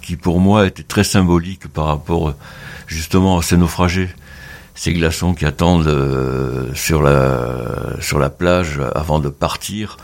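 A man from around the Mediterranean, a photographer giving a radio interview, speaking haltingly with many pauses and fillers. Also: a bass voice, creaky, a little mellow. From Mediterranean, between Tunisia and Marseille. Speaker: photographer, guest.

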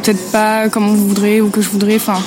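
A woman's voice: mid-range voice, monotone, brisk, noisy background. A woman musician telling an interviewer about her duo, talking fluently and with enthusiasm. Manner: chatty, enthusiastic, fluent storytelling. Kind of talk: interview answers.

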